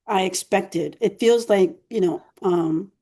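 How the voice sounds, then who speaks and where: A woman co-hosting a podcast in a casual, rambling chat, her voice going hoarse as she keeps clearing her throat. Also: medium pitch, slow, warm tone. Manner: chatty, rambling, many fillers. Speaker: podcast co-host.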